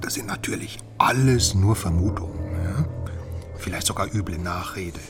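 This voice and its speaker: An actor plays a wry, sceptical journalist in a radio drama, a man with a fairly low voice. He grumbles and confides in a conspiratorial, sardonic way. Music plays behind him. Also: normal speed, in a whisper, natural voice. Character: wry West German man, investigative journalist, exasperated and sceptical. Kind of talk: naturalistic radio drama dialogue.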